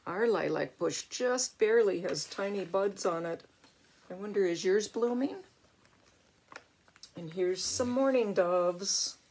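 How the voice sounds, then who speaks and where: Older woman, unhurried storybook reader, slightly husky, expressive, with chatty asides for a small child. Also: mid-range voice. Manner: expressive, exclaiming, talking to a young child. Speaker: grandmother reading a picture book.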